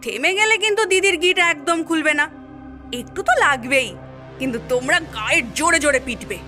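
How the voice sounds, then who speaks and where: A woman's voice: high voice, animated, a little fast, bright, forceful tone. A woman voicing a scheming cartoon character who coaxes and instructs in a sly manner. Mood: coaxing, instructing. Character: scheming woman coaxing gullible youngsters into mischief. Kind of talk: cartoon voice acting. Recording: music behind the voice.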